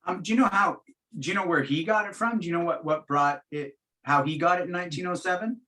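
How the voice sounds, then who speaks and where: Podcast host, man, slightly high and very bright voice, casual questioning. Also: quick pace. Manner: asks questions, brief backchannels. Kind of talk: casual interview chat.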